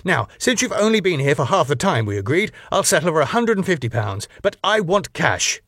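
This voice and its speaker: A man's voice: on the high side, sing-song, fairly quick, a bit bright, dry recording, studio quality, pitched above the performer's own voice, exaggerated voice. A man playing a pompous, furious club owner. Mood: haughty. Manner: pompous, snapping, outraged. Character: pompous, hostile older male ballroom owner.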